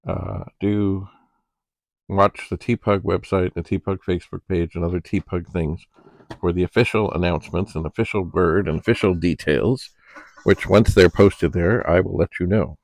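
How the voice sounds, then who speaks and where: A man's voice: low-pitched, fairly even intonation, normal speed, creaky, darkish tone, no room sound, rough recording. A man chatting casually as a video host, rambling and thinking aloud as he shows an item. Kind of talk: casual unboxing chat.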